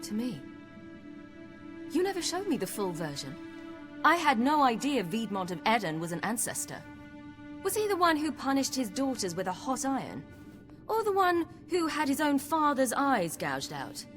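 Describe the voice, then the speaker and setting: An actress playing a spirited, forthright young woman adventurer in a video game, speaking in a direct, expressive way. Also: high-pitched, normal speed, music in the background. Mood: curious, teasing. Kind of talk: video game dialogue acting.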